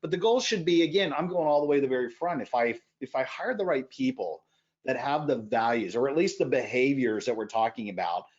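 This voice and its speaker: A man speaking as a podcast guest in animated conversation, emphatic, with loud bursts and quieter asides. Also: mid-range voice, a little fast, muffled recording.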